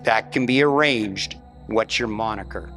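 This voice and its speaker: A man's voice: on the low side, over music, lightly acted. A man playing a curt, threatening gangster in a 1940s-style radio crime drama. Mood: menacing. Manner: curt, threatening, slow drawn-out delivery.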